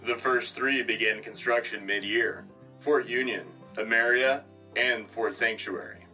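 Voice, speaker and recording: Male actor as earnest sci-fi radio anchor, bright punchy voice, official, polished, slightly off-mic. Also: medium pitch, steady tone, measured pace, recorded from a distance, soft background music, poor audio. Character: earnest male propaganda radio news anchor of future Earth. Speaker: radio co-host. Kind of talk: scripted satirical news broadcast.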